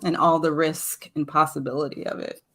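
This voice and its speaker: A woman in casual, reflective conversation, speaking thoughtfully in halting phrases. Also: sits low, level tone, fairly bright tone. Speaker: poet, guest speaker.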